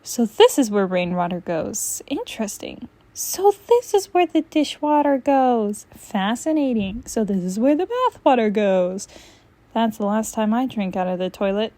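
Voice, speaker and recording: A woman voicing a lazy, sarcastic male cartoon cat with a dry, deadpan delivery, in a storybook read-aloud. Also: on the high side, a little slow. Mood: musing.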